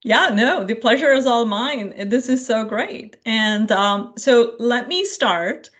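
A woman's voice: fairly high pitch, animated, slow. A woman hosting an informal podcast interview, chatty and enthusiastic as she talks.

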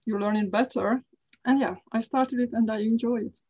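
A middle-aged woman with a Hungarian accent and a somewhat high voice. She answers interview questions in careful English, pausing and restarting as she searches for words. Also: fairly even intonation, a little mellow, husky, lo-fi sound. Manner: careful, halting, frequent restarts. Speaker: guest. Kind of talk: casual interview talk.

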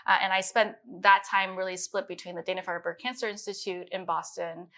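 A woman's voice: medium pitch, steady tone, fairly quick, sharp tone. A woman in her late thirties, born in China and raised in the United States, giving a reflective, detailed account of her life as an interview guest. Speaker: guest, chief science officer. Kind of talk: conversational interview.

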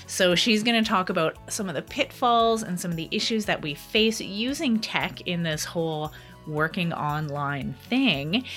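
A woman's voice: mid-range voice, fairly bright tone. A woman hosting a podcast, speaking in an upbeat and enthusiastic way as she introduces her guest. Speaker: podcast host.

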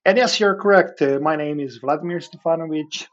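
A man from Serbia with a Serbian accent, speaking conversationally as an interview guest in halting phrases with frequent filled pauses. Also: a little high, dull audio. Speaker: podcast guest, cloud engineer.

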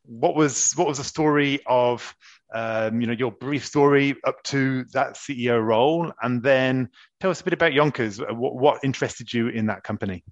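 A man's voice: mid-range voice, normal speed, highs cut off. A man hosting a podcast for executives, introducing his guest and interviewing her in an earnest way with frequent fillers. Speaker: podcast host and executive coach.

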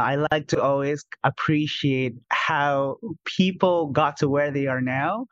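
A man hosting a podcast as a life coach, reading a polished intro and then asking interview questions in a smooth, upbeat way. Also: on the high side, no room sound, dull audio.

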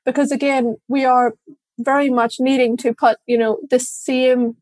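An Irish woman speaks as an interview guest, with a voice a little higher than most. She answers thoughtfully and candidly, sometimes with a light laugh. The recording is dry and close. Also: flat delivery, unhurried, darkish tone, no echo. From Ireland. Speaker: guest, diversity and inclusion director.

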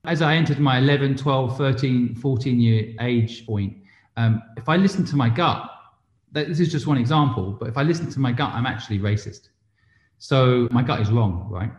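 A man's voice: medium pitch, moderate pace, a little mellow. A man speaking as an interview guest, an entrepreneur explaining his views conversationally with rhetorical and tag questions. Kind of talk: conversational interview, giving business advice. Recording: roomy echo, slightly dull sound.

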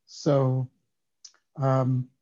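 A man in his fifties from Switzerland, a guest on a podcast, telling his story in a reflective, unhurried way. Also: medium pitch, monotone, dark tone, dull audio. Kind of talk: casual interview talk.

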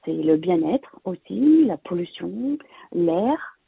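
Woman, very raspy voice, gravelly fry, hesitant with fillers, expert guest, narrow phone-like audio. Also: lowish voice, big pitch swings, takes it slowly, dry recording. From Vietnamese heritage, living in France. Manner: hesitant, many fillers and restarts. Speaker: interior plant decorator, guest expert. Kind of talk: conversational expert advice. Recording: dry, phone-like narrow sound.

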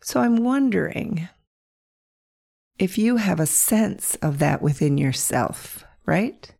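Croaky-voiced woman hosting a podcast. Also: on the low side, animated, slow pace. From north central Pennsylvania. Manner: gentle, playful storytelling with soft laughs. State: hoarse from bronchitis, croaky with cracks. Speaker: podcast host. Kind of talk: reflective solo podcast monologue.

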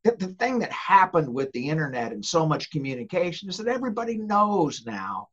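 Older Southern American man, husky, animated guest, slightly higher voice, muffled lo-fi audio. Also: a little fast. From United States. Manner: animated storyteller, laughs often. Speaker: podcast guest, author. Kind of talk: casual interview talk.

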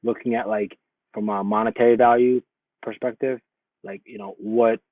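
A man born in Somalia, a guest in a casual interview, talking in a relaxed, rambling way full of fillers and restarts. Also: fairly low pitch, normal speed, no room sound. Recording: tinny phone-like lo-fi line, dry.

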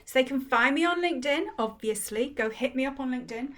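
A woman's voice: sits high, quick pace, a bit bright. A woman from Wales, a business founder, speaking articulately as a guest in a casual interview. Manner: articulate and fluent.

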